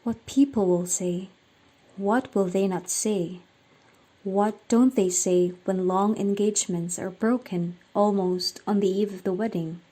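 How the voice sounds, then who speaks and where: A woman's voice: mid-range voice, fairly even intonation, unhurried, warm tone. A woman reading scripted story narration for a radio drama.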